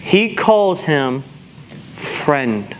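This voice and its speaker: A man's voice: on the high side, very animated, very slow, a little hiss or hum. A man preaching a sermon in an emphatic, exhortatory manner, repeating phrases for weight. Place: large church sanctuary, speaking from the pulpit to a seated congregation.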